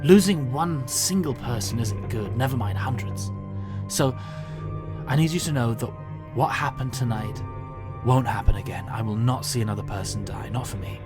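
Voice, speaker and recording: A man acting an excitable but war-haunted time traveller in an audio drama, kind and awkward, speaking in halting, earnest bursts. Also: medium pitch, over music, close to an everyday voice. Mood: grave, regretful.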